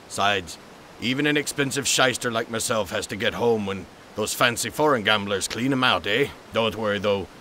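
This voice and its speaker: A man's voice: fairly low pitch, pushed and bright, slight background noise. A man voicing a jovial, bawdy older American uncle in a motion comic, with hearty, slangy, folksy talk. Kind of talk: dramatic motion-comic voice acting.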